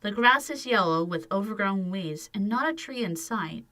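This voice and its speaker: A woman's voice: mid-range voice, expressive, normal speed, pushed and bright. A woman narrating an audio drama from a script as its storyteller.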